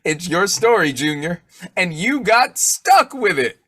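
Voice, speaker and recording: A man's voice: sits high, sing-song, a little slow, bright, forceful tone, slightly rough, no echo, exaggerated voice. A man acting a snoopy, folksy husband who cracks slangy jokes in an old-time radio comedy. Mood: teasing. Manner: folksy, jokey, slangy delivery.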